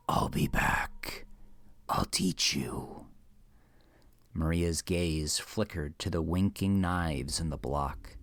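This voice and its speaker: A man narrating a horror story in a dramatic, hushed and deliberate manner with an ominous tone. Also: rumbling low, no room sound.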